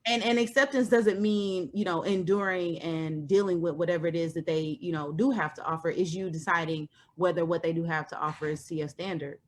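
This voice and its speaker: A woman in her thirties, a guest, talking things through thoughtfully with many pauses and fillers. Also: lowish voice, steady tone. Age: 30s. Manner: thoughtful, pauses with lots of ums and you knows. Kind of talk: reflective conversation.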